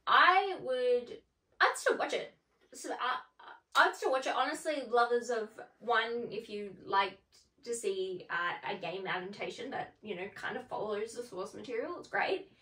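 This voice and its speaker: A woman co-hosting a movie review show, chatting casually in an animated way with hesitant phrasing. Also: on the high side, measured pace. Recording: echoey room, distant mic.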